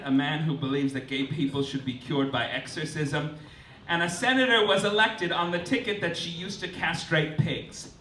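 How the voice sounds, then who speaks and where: In a roomy, noisy live club, a man delivers stand-up comedy in a high voice. He is campy and theatrical, presenting mock-formal announcements with exasperated, sweary asides. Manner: campy, theatrical, swooping emphasis. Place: indoor cabaret club stage. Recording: roomy live stage, distant, clearly noisy.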